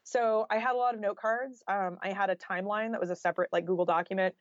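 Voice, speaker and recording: A woman's voice: mid-range voice, steady tone, fast, no room sound, lo-fi sound. A woman giving conversational interview answers as a guest author, explaining informally with lots of "like" and "you know".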